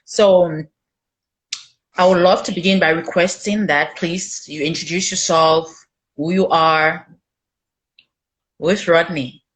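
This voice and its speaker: Low-voiced woman hosting a chat. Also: steady tone, takes it slowly, pauses often, rough recording. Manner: chatty, laughs often, stumbles over words. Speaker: host. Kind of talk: casual interview chat.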